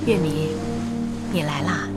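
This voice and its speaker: A woman's voice: deep voice, slow, loud music behind the voice. An actress in a radio drama playing an earnest, emotional female laundry owner, polite but spirited. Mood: gentle, grateful. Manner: earnest, emotional, indignant when pressed.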